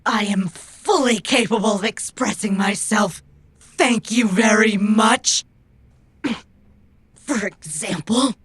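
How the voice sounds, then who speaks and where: A woman's voice: fairly high pitch, fairly bright tone. A woman playing a proud, stubborn female robot who speaks in formal, precise, stilted phrases in an audio drama. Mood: indignant.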